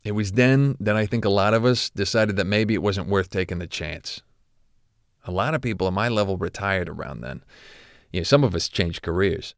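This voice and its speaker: An actor playing an older, guilt-ridden retired corporate executive who confides haltingly, as in an audio drama interview. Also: male voice, deep voice, gravelly, no echo, studio quality. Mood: weary, resigned. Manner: hesitant, confessional, halting.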